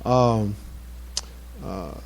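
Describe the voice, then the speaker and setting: A man in his seventies giving an informal off-the-cuff address to his community, halting and often repeating words as he searches for phrases. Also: fairly low pitch, vocal fry, a little mellow. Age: 70s. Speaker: mosque community elder speaking at an anniversary event.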